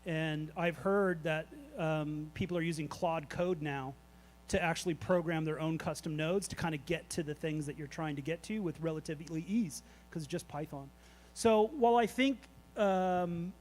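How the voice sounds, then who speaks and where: A man with a high-set voice talks loosely and candidly in front of a meetup crowd. He answers questions with fillers and restarts, in a relaxed, unscripted way. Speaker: invited artist answering audience questions. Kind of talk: casual presentation Q&A. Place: event space at a meetup.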